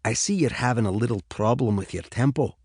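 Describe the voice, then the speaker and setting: A man's voice: medium pitch, a little fast, a little mellow, husky, dry recording, clean studio sound, close to an everyday voice. A man voicing an eccentric, blunt and breezy golfer in his late fifties, with an encouraging streak, for an audiobook. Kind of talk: audiobook character dialogue.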